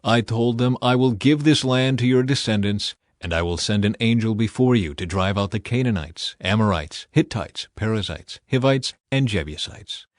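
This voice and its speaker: A man's voice: fairly low pitch, normal speed, few pauses, no room sound, studio quality, lightly acted. A man voicing a grave, stern God with solemn authority in a scripture reading. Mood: stern warning. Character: stern God speaking to Moses, commanding and grave.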